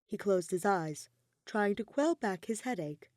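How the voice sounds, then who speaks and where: A woman's voice: mid-range voice, expressive, unhurried, darkish tone, no room sound. Woman narrating a story, dry close sound. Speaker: audiobook narrator of fan fiction.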